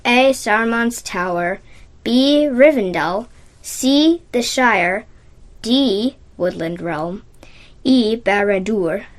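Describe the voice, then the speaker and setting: Female listener message, fairly high voice, very unhurried and precisely pronounced, prepared question. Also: expressive. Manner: careful, well-enunciated. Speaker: listener sending a recorded question.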